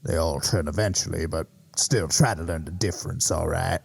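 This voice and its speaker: A man playing a jaded, fatherly griffin mentor in an audio drama, gravelly and patiently instructive. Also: sits low, moderate pace, heavily acted. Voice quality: gravelly, husky.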